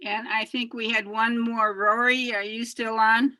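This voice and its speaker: Woman hosting a zoom workshop, bright voice, slow, dry sound. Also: a little high, level tone, no room sound, rough recording. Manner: calls on participants by name, upbeat prompts. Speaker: workshop facilitator. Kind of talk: online meeting facilitation. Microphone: video call.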